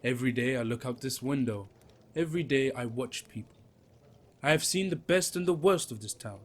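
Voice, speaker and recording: A man playing Solomon, a cryptic stranger who watches people from a tavern corner and speaks in slow, leading questions. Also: mid-range voice, a little hiss or hum, natural voice.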